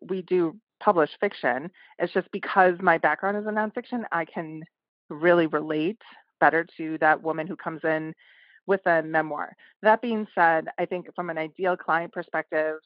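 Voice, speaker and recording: A woman's voice: fairly low pitch, no echo, lo-fi sound. A woman who runs a publishing house, speaking as a podcast guest in a thoughtful, conversational way with occasional fillers. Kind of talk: casual podcast interview.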